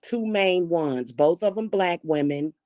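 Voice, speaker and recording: A woman's voice: on the low side, expressive, measured pace, a little mellow, dry recording, clean studio sound. Blunt, scornful woman with a Missouri accent. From Kansas City, Missouri. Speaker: spiritual practitioner and channel host. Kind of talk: casual monologue to viewers.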